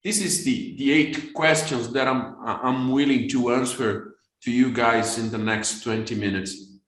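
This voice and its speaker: A man with a Brazilian Portuguese accent, a vascular surgeon giving a fast webinar lecture in English that is not his first language, often repeating words as he restarts. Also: mid-range voice, fairly bright tone, reverberant, poor audio.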